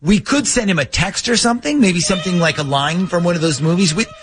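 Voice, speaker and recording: A man's voice: high-pitched, quick pace. A man hosting a morning radio show, bantering casually through prank calls.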